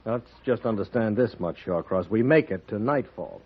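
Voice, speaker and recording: An actor playing a proud, desperate man in a 1950s radio western, with a husky voice and tense, dramatic delivery. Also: on the low side, animated, normal speed, a little hiss or hum, natural voice. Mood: defiant, grim. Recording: muffled vintage radio recording.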